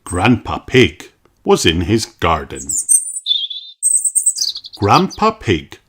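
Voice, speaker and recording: Very animated, slow male storybook reader. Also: low voice, a little hiss or hum. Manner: expressive, deliberate. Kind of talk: children's read-aloud narration.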